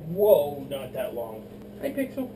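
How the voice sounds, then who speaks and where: A man's voice: mid-range voice, sing-song, takes it slowly, very noisy. A drunk young man rambling and playing silly while he bakes in a small home kitchen, recorded by a camera across the room. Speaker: vlogger. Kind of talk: drunken cooking demo vlog.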